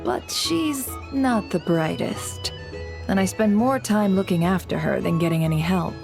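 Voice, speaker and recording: A woman playing a sly, smooth-talking businesswoman in a video game, coy, polite and measured. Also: medium pitch, expressive, music in the background. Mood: coy, teasing.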